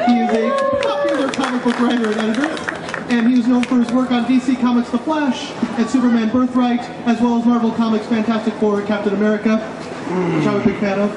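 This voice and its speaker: A woman's voice: fairly high pitch, steady tone, fast talker. A woman giving a prepared introduction of guest speakers at a live event. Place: public festival event before an audience. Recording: echoey, distant, noisy live event recording.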